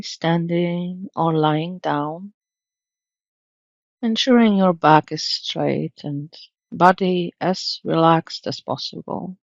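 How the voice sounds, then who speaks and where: A woman narrating a guided meditation in a soothing, gentle, measured manner. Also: fairly low pitch, no echo, muffled recording.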